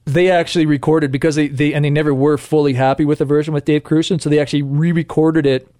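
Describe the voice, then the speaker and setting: A man's voice: on the high side, little pitch movement, quick pace, mellow, no room sound, clean studio sound. A Canadian man co-hosting a casual music podcast, sharing trivia and laughing often. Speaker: podcast co-host.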